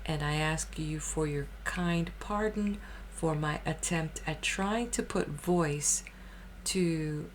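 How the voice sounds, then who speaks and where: A woman hosting her own channel, talking casually and thoughtfully, with hesitations, restarts and soft near-whispered phrases. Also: deep voice, slight background noise. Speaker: audiobook channel host. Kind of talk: casual spoken introduction.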